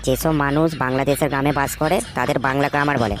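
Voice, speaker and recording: An earnest, dim-witted job candidate from a comedy cartoon, played by a man. He speaks rapidly with wheedling overconfidence. Clear noise sits on the recording. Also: medium pitch, fairly even intonation, natural voice. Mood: cocky, confident.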